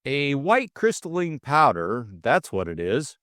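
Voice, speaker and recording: A man voicing a flippant young gentleman sleuth in an audiobook, glib, drawling and teasing. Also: mid-range voice, huge pitch swings, measured pace, no room sound, studio quality. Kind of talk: audiobook character acting.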